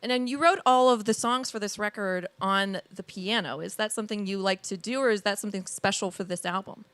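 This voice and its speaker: A woman's voice: medium pitch, a little fast, a bit bright, no echo. A woman hosting a live event and interviewing guests on a small theater stage. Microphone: stage microphone.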